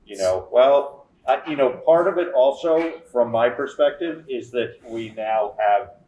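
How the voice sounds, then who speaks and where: A man's voice: medium pitch, animated, unhurried, warm tone, reverberant, dull audio. A man on a town selectboard, talking in a hesitant, agreeable way with many restarts during a meeting. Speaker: selectboard member. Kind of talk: meeting discussion.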